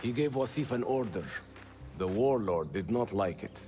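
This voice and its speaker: An actor playing a determined, impassioned rebel leader in a video game, resolute and expressive. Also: male voice, fairly low pitch, normal speed, soft background music, close to an everyday voice. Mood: earnest.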